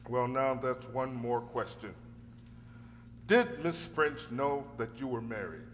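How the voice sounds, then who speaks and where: Defence lawyer, played by a man, deliberate questioning radio-drama voice, mid-range, slight hum behind. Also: mid-range voice, a bit bright. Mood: probing. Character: measured, probing male defence lawyer. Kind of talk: amateur radio drama acting. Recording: echoey hall, faint hum.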